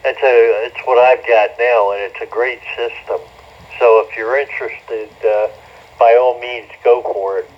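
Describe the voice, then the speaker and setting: Male ham operator, mid-pitched, casual check-in over a dull radio channel. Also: fairly even intonation, measured pace. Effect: two-way radio transmission. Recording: muffled ham radio signal.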